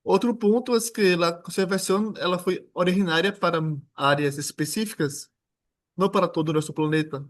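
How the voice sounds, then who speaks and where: A man with a Brazilian Portuguese accent lectures at a seminar, loose and jokey, tripping over words and restarting. His voice sits a little high for a man. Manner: informal, joking, halting with restarts. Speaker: guest lecturer. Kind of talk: academic seminar talk.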